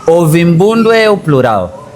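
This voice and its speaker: A man's voice: fairly high pitch, big pitch swings, a little slow, darkish tone. A man from Angola with an Angolan accent, speaking as an interview guest in an explanatory way, often rephrasing himself. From Angola, Bié. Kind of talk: conversational political interview.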